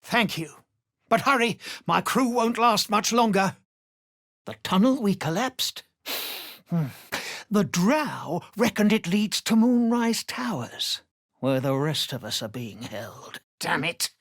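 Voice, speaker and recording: A hot-tempered gnome captive in a video game, desperate and emotional. His delivery is rough and urgent, with a pitch that moves a lot, recorded close and dry. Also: very animated, takes it slowly, fairly bright tone, dry recording, exaggerated voice. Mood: pleading. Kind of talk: video game character voice lines.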